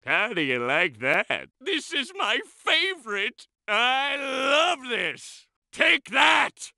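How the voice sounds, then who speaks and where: A tiny, megalomaniac villain from a cartoon video game, speaks in a high, piercingly bright voice with a gravelly rasp. He drags out his schemes at a slow, gloating crawl. His boasts keep breaking into shouts, all of it in a dry, close studio sound. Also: big pitch swings, dry recording, full character voice. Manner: grandiose boasting with sudden shouts.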